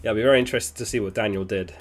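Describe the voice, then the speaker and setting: A man with a somewhat low voice hosts a live stream in a loose, chatty way. He sounds sniffly and keeps clearing his throat. He thinks aloud with easy fillers as he answers viewers. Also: rapid-fire, soft background music. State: sniffly, getting over an illness. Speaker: fantasy football livestream host. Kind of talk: casual livestream chat reading viewer messages.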